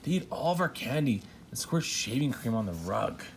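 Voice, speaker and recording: Bossy storybook witch sister voiced by a man, unkind, curt, expressive, noisy home recording. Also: medium pitch, moderate pace, vocal fry. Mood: commanding. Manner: curt, commanding.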